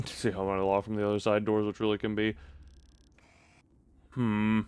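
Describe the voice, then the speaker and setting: A man's voice: fairly low pitch, monotone, normal speed, darkish tone, clear, resonant tone, no echo. A man doing casual live gameplay commentary, thinking aloud in a playful, muttering way and repeating phrases to himself. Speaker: game streamer.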